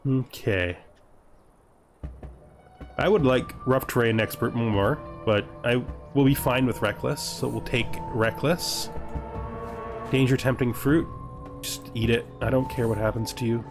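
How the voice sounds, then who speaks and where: A man's voice: medium pitch, fairly even intonation, unhurried, long pauses, over music. A man giving casual gameplay commentary, reading game text aloud and reacting with dry, offhand humour. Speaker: gaming video host.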